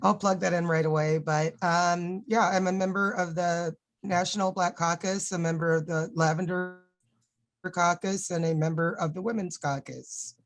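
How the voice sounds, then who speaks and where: Woman on a video call, kinda low voice, dry sound, friendly. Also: fairly even intonation, normal speed, no room sound. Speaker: workshop participant. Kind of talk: self-introduction on video call.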